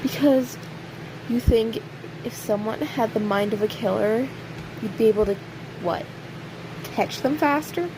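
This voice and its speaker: A woman playing a snarky, cocky imprisoned serial killer in an audio roleplay, sarcastic and teasing, with pointed pauses. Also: fairly high pitch. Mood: mocking, taunting. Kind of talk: audio roleplay acting, addressing an unheard listener. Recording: steady clear background hiss or hum.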